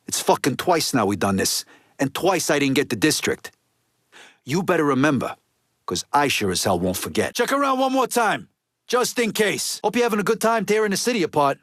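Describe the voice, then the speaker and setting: Game mobster: male, slightly high voice, blunt, bitter and streetwise, foul-mouthed delivery, very dry close recording. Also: big pitch swings, brisk, fairly bright tone, no room sound. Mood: bitter, irritated. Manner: blunt, streetwise, slangy, heavy swearing. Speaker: video game voice actor. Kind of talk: video game character dialogue.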